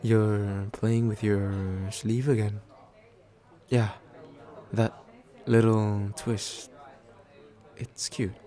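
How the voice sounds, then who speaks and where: Slow, low-voiced, tender nervous boyfriend played by a man. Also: level tone. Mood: shy, gentle. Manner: halting, gently self-deprecating, with small stammers. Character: tender, nervous, attentive man on a second date. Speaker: voice actor in an audio roleplay. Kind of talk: soft intimate ASMR boyfriend roleplay.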